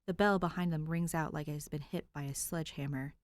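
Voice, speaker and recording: A woman's voice: sits low, steady tone, fast talker. A woman podfic reader narrating fan fiction expressively, with an informal, conversational touch.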